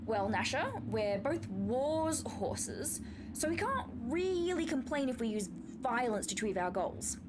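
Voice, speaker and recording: High, swooping, sardonic warhorse. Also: female voice, big pitch swings, unhurried, noisy background. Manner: sardonic, incredulous, opinionated. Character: brainy, cynical warhorse, sardonic and argumentative. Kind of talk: comic radio play acting.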